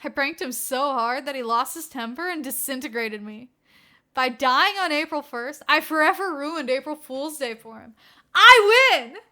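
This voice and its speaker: A woman's voice: sits high, animated, moderate pace, bright, forceful tone. A woman voicing a petty, smug younger brother with a dramatic, triumphant manner in a story reading.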